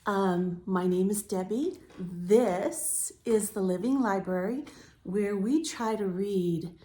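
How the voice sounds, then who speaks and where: A woman reading a picture book aloud to young children as a storytime host, with deliberate, drawn-out phrasing. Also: medium pitch, warm tone.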